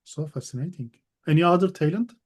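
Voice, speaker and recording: A man's voice: a little high, fairly quick, darkish tone. A man hosting a casual interview, speaking English as a second language in broken, encouraging phrases. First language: not English. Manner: encouraging, broken phrasing, frequent "you know". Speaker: podcast host.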